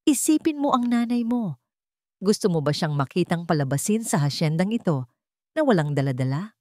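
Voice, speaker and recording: A woman's voice: on the low side, very animated, moderate pace, dark tone, dry recording. Old woman cook, played by a woman storyteller, kindly, fearful, cautioning, resigned, dry recording. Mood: resigned warning. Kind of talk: dramatic storytelling.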